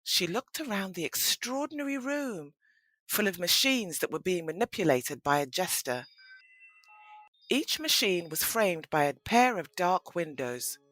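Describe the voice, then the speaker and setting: A woman narrating a scripted audio story as an expressive storyteller. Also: lowish voice, unhurried, no room sound.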